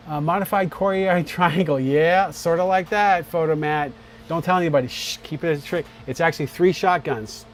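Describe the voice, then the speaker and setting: A man's voice: a little high, slight background noise. A man hosting a live-stream chat for his video premiere, rambling casually with lots of fillers and restarts. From born on a US Navy base in Morocco, raised in many places. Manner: rambling, many fillers and repeated starts.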